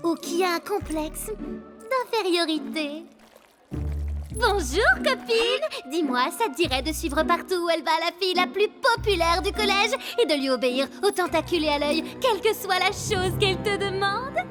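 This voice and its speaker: A woman's voice: very high voice, animated, moderate pace. A woman voicing a bossy, scornful, popular schoolgirl creature in a cartoon, with an imperious, dramatic delivery. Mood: wheedling. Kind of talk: animated cartoon dub. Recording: music under the voice.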